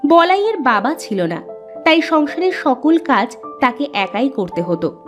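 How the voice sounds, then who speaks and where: Female storyteller, higher-pitched, unhurried delivery, children's tale narration over music. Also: sing-song.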